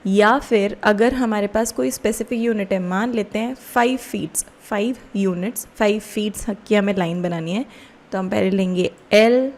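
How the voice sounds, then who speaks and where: A woman with an Indian accent giving a step-by-step software tutorial as an instructor, explaining casually and often checking in with questions. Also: mid-range voice, normal speed.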